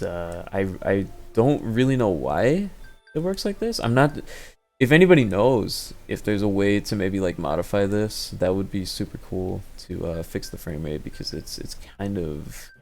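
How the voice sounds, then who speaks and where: A man with a very deep, creaky voice talks casually through gameplay. He wanders from thought to thought, hesitating and working things out aloud. Music plays behind him. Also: a little mellow. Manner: rambling, hesitant, thinking aloud. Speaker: video game showcase host. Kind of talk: casual off-the-cuff gameplay commentary.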